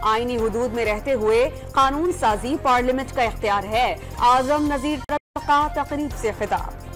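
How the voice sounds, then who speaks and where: A woman with a Pakistani Urdu accent reading scripted TV news headlines in a crisp, formal newsreader manner. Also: a little high, moderate pace. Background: news music bed.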